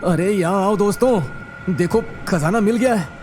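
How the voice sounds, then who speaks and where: A man playing an excitable young male traveller in a cartoon horror story, speaking in a dramatic, exclamatory way. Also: high voice, moderate pace, slight background noise, lightly acted. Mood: excited. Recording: music under the voice.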